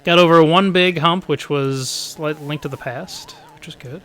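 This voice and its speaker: Upbeat male streamer around 40, voice a touch hoarse and pitched on the high side; live gameplay chatter. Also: moderate pace, a bit bright, poor audio. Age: 40s. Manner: upbeat, chatty, thanks viewers while concentrating. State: slightly hoarse. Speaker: speedrun streamer.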